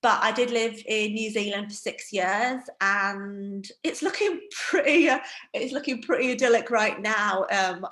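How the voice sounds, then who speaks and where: A woman's voice: medium pitch, a little slow, fairly bright tone, echoey room. A woman with a Northeast English accent giving a chatty, self-deprecating online wine training session over a video call. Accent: Northeast England. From Northeast England. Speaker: wine educator. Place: home room.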